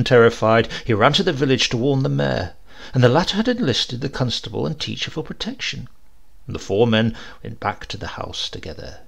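A man reading a literary short story aloud as an audiobook narrator, in an expressive, measured storytelling manner. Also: medium pitch, gravelly.

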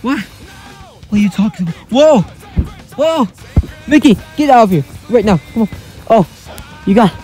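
A man voicing a panicked young plush-toy hero who shouts and yelps. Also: expressive, unhurried, pauses often, faint music behind. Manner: shouting, high-strung yelps.

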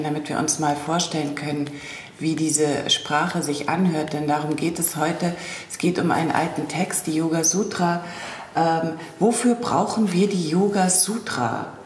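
A woman hosting an interview podcast, speaking clearly and articulately with a lightly ironic edge. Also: deep voice, reverberant, slight background noise. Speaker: podcast host.

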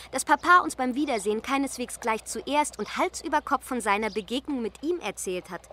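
A well-bred young woman in a radio play, pert and prickly. Her voice is high and she teases with a sharp tongue. Clear background ambience sits behind her. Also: slight background noise. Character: pert, prickly young upper-class daughter.

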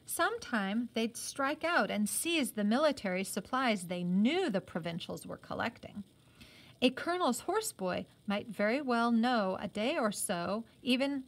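A woman teacher reading a novel aloud expressively, adding explanatory asides. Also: on the high side.

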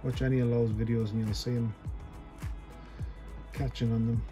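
A man's voice: mid-range voice, little pitch movement, moderate pace, music in the background. A man giving a casual fly tying tutorial at his desk, chatty and self-deprecating, thinking aloud as he works. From North East England.

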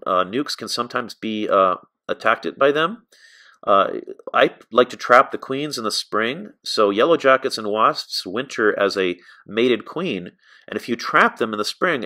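A man's voice: mid-range voice, sharp tone. A middle-aged American man giving an informal, explanatory narrated lesson as an instructor, often adding asides. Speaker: beekeeping instructor.

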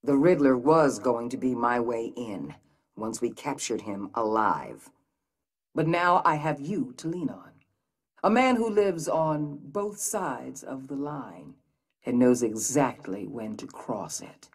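An actress playing a steely, domineering middle-aged agency boss in a video game, commanding, curt and menacing. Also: female voice, very deep voice, expressive, slow pace, breathy edge, heavily acted. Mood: quietly menacing. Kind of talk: dramatic video game acting.

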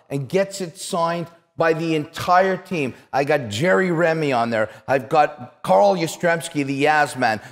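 A Canadian man in his fifties hosting a casual interview, telling stories in an animated, emphatic way and repeating words for punch. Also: a little high. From Toronto, Canada. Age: 50s. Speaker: interview show host, retired athlete. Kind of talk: casual conversational storytelling. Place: small office-like studio set, indoors. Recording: echoey room with long reverb.

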